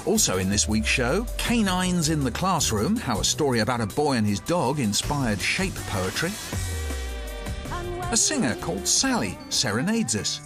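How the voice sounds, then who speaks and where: A man narrating a programme in a clear, even, scripted voice-over. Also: mid-range voice, normal speed. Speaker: narrator and interviewer. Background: background music.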